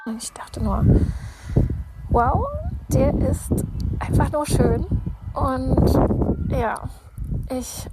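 A woman's voice: high-pitched, big pitch swings, extremely slow, long pauses. Hesitant woman with a noisy voice message. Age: 30s. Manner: hesitant, many fillers, shy. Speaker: caller sending a voice message. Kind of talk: voice-message story. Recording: loud background noise.

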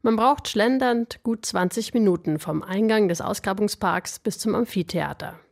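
A woman's voice: mid-range voice, moderate pace, vocal fry. A woman reporter narrating a scripted radio feature.

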